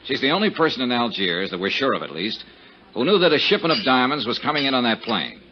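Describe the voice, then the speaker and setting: An actor playing a cynical, hard-boiled American private investigator in 1950s radio drama style, with a sardonic, slangy, wisecracking manner. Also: male voice, mid-range voice, very animated, fast talker, close to an everyday voice. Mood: wry, pressing. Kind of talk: 1950s radio detective drama acting and narration. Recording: muffled, hissy 1950s radio recording.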